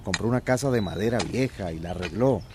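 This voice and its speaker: An actor playing a teasing, folksy older village labourer in a radio drama. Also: male voice, lowish voice, fast, noisy background, natural voice. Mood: sly.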